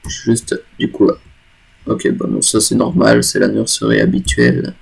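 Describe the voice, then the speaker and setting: A man's voice: lowish voice, very animated, measured pace, gravelly, breathy edge, rough recording. A man with a cold, casually commenting on a game from home, rambling, correcting himself and joking along the way. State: stuffy with a cold. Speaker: gaming YouTuber. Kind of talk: casual let's play commentary.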